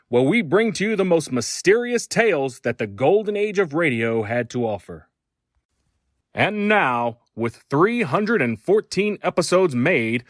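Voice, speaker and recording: A male host reads a promotional introduction. His voice is on the higher side and highly animated. The sound is dry, without room echo. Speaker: channel host.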